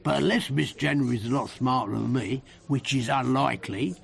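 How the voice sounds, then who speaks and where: An actor with an English accent playing a dogged working-class private investigator in a radio drama, blunt, colloquial and rough-voiced. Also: male voice, medium pitch, normal speed. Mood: matter-of-fact. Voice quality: gravelly, rough. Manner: blunt, colloquial, matter-of-fact.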